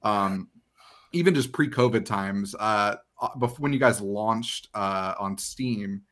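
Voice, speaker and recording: A man's voice: on the low side, slow, a bit bright. A man in his thirties talking casually as a podcast host, giving conversational commentary. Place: indoor home room.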